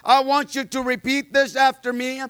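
A fervent male preacher with a very high, bright, forceful voice that rings smooth and clear. He is loud by his own account and scatters amens and fillers through his testimony. The sound is dry and close. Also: level tone, moderate pace, dry recording. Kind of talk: sermon with personal testimony.

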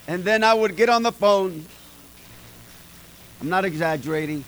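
A man's voice: high-pitched, normal speed, a bit bright, poor audio. A middle-aged man preaching an informal sermon to a small group, folksy and emphatic, talking straight to his listeners. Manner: folksy, emphatic, talks to the congregation directly. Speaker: pastor.

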